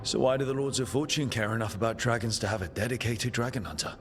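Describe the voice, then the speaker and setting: A man voicing a curious adventurer leader who asks calm, measured, probing questions in a game cutscene. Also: mid-range voice, background noise, close to an everyday voice. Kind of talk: video game cutscene dialogue.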